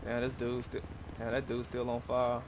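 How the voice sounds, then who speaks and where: A man's voice: mid-range voice, monotone, normal speed. A man chatting casually and slangily with fellow players in an online game. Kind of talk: casual gaming chat. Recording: game voice chat, vehicle noise behind.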